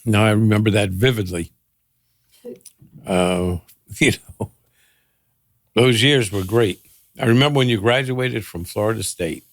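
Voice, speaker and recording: A man's voice: fairly low pitch, measured pace, long pauses, no room sound. An older man, a guest and the host's father, chatting casually in a wry, teasing way and laughing often. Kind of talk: casual chat.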